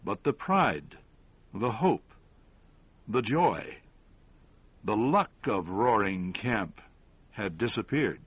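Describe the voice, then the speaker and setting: A man reads a story as a narrator, taking his time over each phrase. His pitch moves expressively across the lines, and the recording is dry and close, with very little room sound. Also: sing-song, fairly bright tone, slightly rough, no room sound. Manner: measured, deliberate phrasing with short pauses. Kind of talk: scripted audiobook-style story narration.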